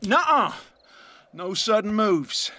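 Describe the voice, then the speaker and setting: A man acting a menacing bounty hunter from a western audio play, high-voiced and very animated. He drawls slowly, gloating and taunting. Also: slight background noise, heavily acted. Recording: music behind the voice.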